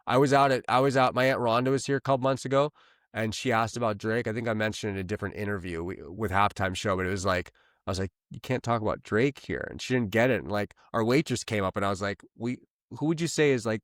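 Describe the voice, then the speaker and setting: A man co-hosting a podcast, talking loosely and profanely about songs, rambling and excitable. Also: on the low side, fast, dry recording, studio quality. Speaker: podcast co-host. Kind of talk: casual chat. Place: lives in Los Angeles.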